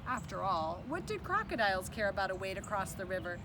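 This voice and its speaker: A woman reading a children's book aloud as an expressive narrator. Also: medium pitch, brisk. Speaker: children's story reader. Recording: distant mic with clear background noise.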